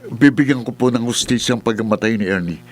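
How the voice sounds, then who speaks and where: A man's voice: medium pitch, fast, lightly acted. Man playing a determined young son. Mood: resolute. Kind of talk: horror radio drama acting.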